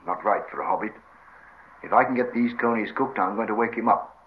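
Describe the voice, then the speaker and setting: A man voicing a gruff, practical, stubborn hobbit servant who talks in homely rustic phrases in a storybook reading. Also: fairly low pitch, brisk, muffled recording, a little hiss or hum, lightly acted. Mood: practical, muttering.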